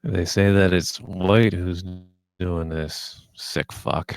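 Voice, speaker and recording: A man playing a cynical police detective in his thirties in a screenplay table read, creaky and blunt in delivery. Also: low voice, fairly even intonation, slow, no echo, clean studio sound, exaggerated voice. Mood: grim, disgusted.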